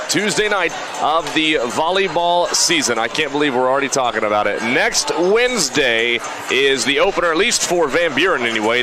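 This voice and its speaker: A man doing live radio play-by-play of high school sports in a conversational announcer's style, on a broadcast mic. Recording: band-limited radio broadcast sound, gym or field noise.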